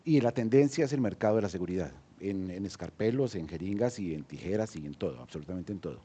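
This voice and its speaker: A Colombian man speaking on a conference panel in a low, mellow voice. He talks hesitantly, full of fillers and restarts as he explains his work. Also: lively intonation, quick pace, breathy edge. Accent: Colombian Spanish. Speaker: entrepreneur panelist. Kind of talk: panel discussion.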